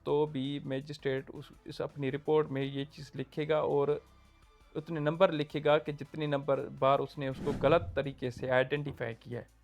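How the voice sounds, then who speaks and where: A man with a Pakistani Urdu accent explaining legal procedure point by point, pausing with fillers. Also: mid-range voice, vocal fry. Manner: steady, numbered points, frequent fillers. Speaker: law lecturer. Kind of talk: explanatory lecture.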